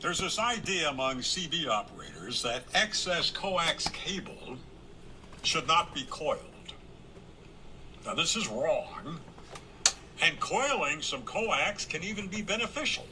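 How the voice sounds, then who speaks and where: A man presenting an instructional explainer in an emphatic, clearly phrased way. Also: a little high, very animated, a little slow. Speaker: presenter of a radio hobby explainer video. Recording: noisy video playback.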